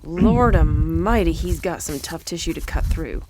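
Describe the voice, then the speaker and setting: A woman's voice: deep voice, measured pace, heavy background noise. A woman playing a folksy, matter-of-fact killer who mentors a rookie in an audio drama. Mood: calm, folksy.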